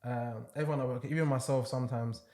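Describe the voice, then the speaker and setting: A man's voice: mid-range voice, fast talker. Young male podcast host, casual and loose, laughs easily, talks with plenty of fillers. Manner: relaxed, laughs often, lots of fillers. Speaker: podcast host and online fitness coach. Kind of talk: casual interview chat.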